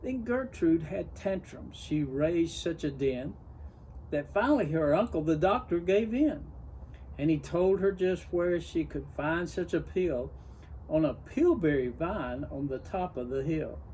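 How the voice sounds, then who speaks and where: Slow, mellow man reading a storybook. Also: on the high side, lively intonation, slight background noise, poor audio. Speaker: story reader. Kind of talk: expressive children's storybook narration.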